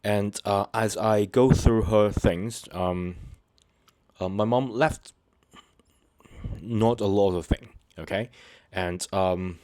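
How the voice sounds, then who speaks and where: A man with a very deep voice speaks to his viewers without a script, stopping and restarting often and leaning on fillers. His delivery is earnest and sincere. The sound is dry and close, with no room around it. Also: fairly even intonation, a little mellow, no echo. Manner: halting, hesitant, many fillers and restarts. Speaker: channel host. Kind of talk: unscripted personal talk to viewers.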